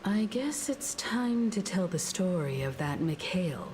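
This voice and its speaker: Composed, unhurried female traveller. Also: lowish voice, expressive, noisy background. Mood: composed, probing. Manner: composed, deliberate, analytical. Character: composed, perceptive adult woman travelling companion. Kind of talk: video game story voice acting. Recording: prominent game music behind.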